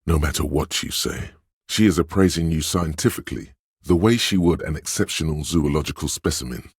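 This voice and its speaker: A man voicing a suave, insinuating inner voice of persuasion in a video game, narrating in a measured, knowing, gently coaxing way. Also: dry recording, studio quality, exaggerated voice. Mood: wry, measured. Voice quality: very deep, husky. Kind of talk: scripted video game narration in second person.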